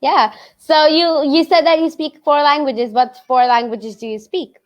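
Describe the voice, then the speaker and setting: A woman's voice: high-pitched, fairly even intonation, normal speed, lo-fi sound. A young woman hosting an interview over a video call, asking short questions with many fillers. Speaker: host.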